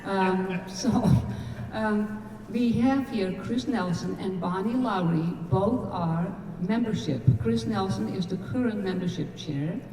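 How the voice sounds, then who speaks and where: A woman emceeing a meeting, briskly introducing the next speakers. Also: medium pitch, background noise. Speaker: event emcee. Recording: echoey hall from far off.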